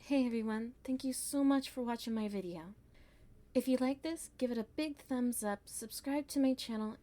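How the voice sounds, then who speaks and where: A woman's voice: a little high, warm tone. A woman ASMR creator talking directly to her viewers in a channel outro.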